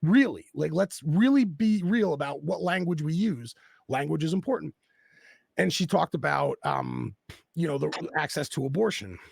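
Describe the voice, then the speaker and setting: A man with a very gravelly, husky voice, speaking at a slightly raised pitch. He talks bluntly and with passion, swearing freely. The sound is close and dry. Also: lively intonation, moderate pace, dry recording. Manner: blunt and profane, impassioned. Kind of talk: casual political chat.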